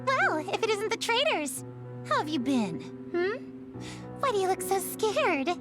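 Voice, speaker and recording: A woman's voice: extremely high pitch, very animated, a little slow, over music, full character voice. A woman playing a taunting young female villain in an anime-style video game, mock-sweet and menacing in a playful sing-song.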